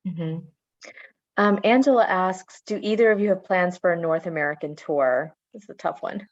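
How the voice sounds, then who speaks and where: A woman's voice: lowish voice, normal speed, no echo, highs cut off. A woman librarian hosting a virtual author panel and reading out audience questions. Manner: reads audience questions aloud. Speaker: librarian host. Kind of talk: moderating a panel.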